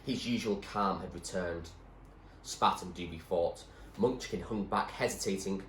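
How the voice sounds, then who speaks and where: A man giving an expressive narration of a storybook, like a teacher reading aloud. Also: sits low, unhurried. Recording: echoey room, faint background noise.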